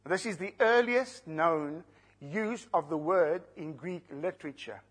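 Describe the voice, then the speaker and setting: A man, an Afrikaans speaker, teaching a sermon verse by verse, slowing down to stress key words and putting questions to the congregation. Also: on the high side, slightly rough. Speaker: preacher. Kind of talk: expository Bible teaching.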